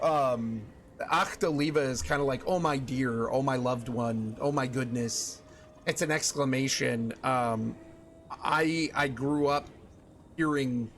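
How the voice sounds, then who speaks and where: A man's voice: mid-range voice, fairly bright tone, slight background noise. A young man streaming, chatting casually with his viewers and thinking aloud with lots of restarts and fillers.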